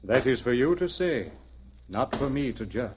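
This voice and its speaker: A noisy, dull vintage radio recording. A male actor plays a grave, commanding man, an ominous guide who is really a lawman. He speaks in a measured, authoritative way at medium pitch. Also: very animated, close to an everyday voice. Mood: solemn, ominous. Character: solemn, stern otherworldly guide, secretly a homicide lieutenant. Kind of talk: 1950s radio drama acting.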